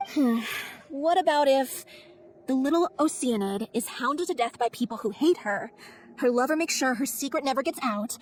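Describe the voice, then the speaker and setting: An actress playing a frank, emotionally wounded young performer from a theatre troupe in a video game. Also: female voice, high-pitched, moderate pace, slight background noise, close to an everyday voice. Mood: questioning, blunt.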